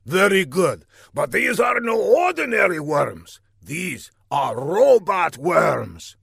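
A megalomaniac villain, pitched very high and full of swoops. He declaims grandly in slow, deliberate phrases, like a comic storybook mastermind who is easily exasperated. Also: big pitch swings, gravelly, a bit bright, breathy edge, dry recording, clean studio sound, exaggerated voice. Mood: gloating. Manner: theatrical, emphatic, exclaiming grandly. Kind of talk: comic audiobook character voice.

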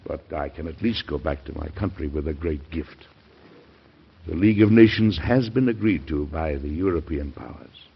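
Weary, idealistic American statesman for old-time radio: earnest, highly animated, dull vintage sound. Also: normal speed, gravelly, breathy edge, exaggerated voice. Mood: hopeful, resolute. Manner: earnest, emphatic oratory. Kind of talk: classic American radio drama acting. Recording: dull, band-limited old radio recording.

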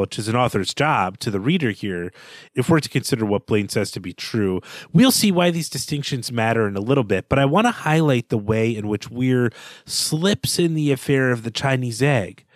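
A man co-hosting a literary podcast digs into the author's craft with lively commentary. His voice is mid-pitched and the sound is dry and close. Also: no room sound. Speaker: podcast co-host. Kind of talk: book discussion podcast.

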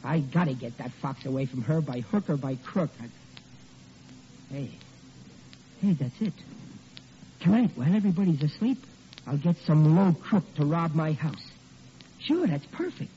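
A man acts out a blustering, henpecked middle-aged husband in an old radio comedy. His high voice swoops about as he stammers in exasperation, heard through a muffled, noisy old recording. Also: expressive, normal speed, long pauses, dark tone, lightly acted. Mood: scheming. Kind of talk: 1940s radio comedy acting.

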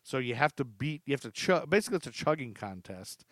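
A man's voice: mid-range voice, sing-song, fast talker, fairly bright tone, no room sound, clean studio sound. A man hosting a podcast, telling stories casually and comically, chatty and amused, repeating facts for emphasis. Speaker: podcast host.

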